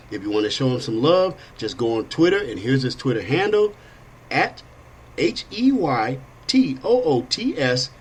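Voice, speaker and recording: A middle-aged man hosting a casual political talk show, rambling and animated with frequent restarts. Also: medium pitch, slightly rough. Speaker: podcast host.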